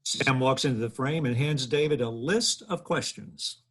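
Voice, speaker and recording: A man's voice: medium pitch, moderate pace, husky. A man in his thirties narrating stage directions at a table read, from home over a video call. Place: living room, video call.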